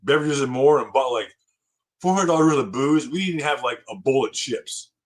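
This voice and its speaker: A man's voice: mid-range voice, a little fast, over a noisy crowd. A man in his late fifties chatting casually on a live stream, rambling with many fillers and frequent laughs. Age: 50s. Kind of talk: casual chat.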